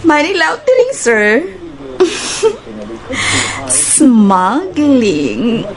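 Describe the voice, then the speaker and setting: Spirited young cebuano gal, radio drama, high slow-paced voice, crowd noise behind. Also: female voice, sing-song, vocal fry, full character voice. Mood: teasing. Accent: Filipino, Cebuano. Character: Tess, spirited young female TV station worker. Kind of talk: Filipino radio action drama acting.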